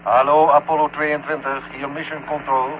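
A genial general at mission control in a vintage radio play. He is hearty and encouraging, his fairly high male voice coming through a phone-like radio link with clear noise. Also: flat delivery, moderate pace. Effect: radio-link filter. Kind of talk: 1970 Dutch radio drama acting. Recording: tinny, noisy radio-link sound.

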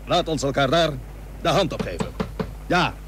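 Naval commander, male actor, historical radio drama: resolute, solemn, higher-pitched, very animated delivery, slightly noisy old recording. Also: normal speed, lightly acted. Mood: earnest, resolute. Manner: earnest, commanding, solemn, archaic formal wording. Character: resolute Dutch naval commander rallying his captains, 17th century. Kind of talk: Dutch radio play acting.

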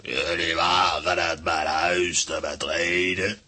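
A man playing a boastful, menacing giant tyrant in a children's audio drama, slow and bellowing, with a rough deep voice. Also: flat delivery, pushed and bright, a little hiss or hum, full character voice. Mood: haughty, threatening.

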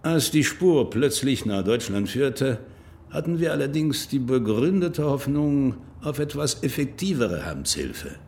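Radio drama: insistent Belgian government envoy, medium pitch, formal, pointed, deliberate. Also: male voice, sing-song, a little mellow. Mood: formal pressure. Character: grave, insistent male Belgian government official. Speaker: actor in a radio crime drama. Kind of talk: naturalistic radio drama acting.

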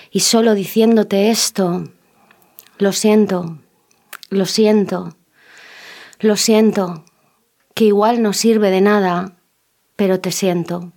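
A woman's voice: medium pitch, darkish tone. A woman reciting her own poem in a solemn, rhythmic spoken-word style with repeated refrains.

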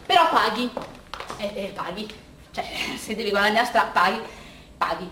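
An actress playing an agitated, rambling woman in a bittersweet theatre monologue, explaining in a halting, repetitive, animated way to her audience. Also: medium pitch, natural voice. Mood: excited, wry. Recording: roomy, distant stage recording, faint noise.

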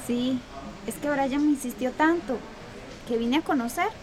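Guarded, high-voiced Clara. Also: female voice, a little slow, crowd noise, poor audio. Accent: Costa Rican. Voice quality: guarded, reluctant. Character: young woman Clara, guarded and reluctant.

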